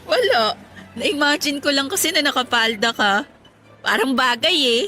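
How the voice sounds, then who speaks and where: A woman's voice: sits high, lively intonation, normal speed, pushed and bright, faint music behind. A woman playing a curious young woman. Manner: inquisitive, teasing. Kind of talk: radio drama acting.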